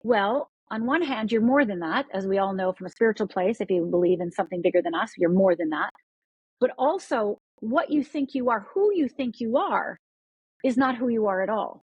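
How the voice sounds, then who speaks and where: A woman's voice: medium pitch, lively intonation, normal speed, a little mellow, slightly rough, no room sound, muffled recording. A woman from Upper Michigan, a podcast guest, talking with energy and often repeating words as she restarts sentences. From Upper Michigan, USA. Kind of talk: podcast interview.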